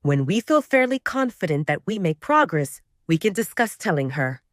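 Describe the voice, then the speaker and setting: A woman's voice: lowish voice, big pitch swings, moderate pace, dry recording, clean studio sound. A woman voicing a protective male werewolf pack leader in an audio drama, with terse, decisive delivery. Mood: firm, protective.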